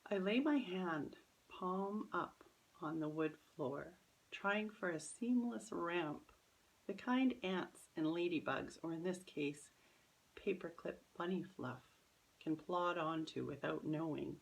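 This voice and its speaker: A woman reading her own children's story as expressive, careful, dramatic narration. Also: lowish voice, takes it slowly, creaky, muffled recording.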